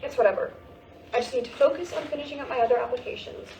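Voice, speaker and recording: A woman's voice: sits high, rapid-fire, darkish tone. A woman playing a sarcastic, stressed teenage daughter in a staged play reading, talking in flippant slang. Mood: wry disappointment. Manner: flippant, slangy, brushing off worries. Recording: roomy, distant mic with hiss.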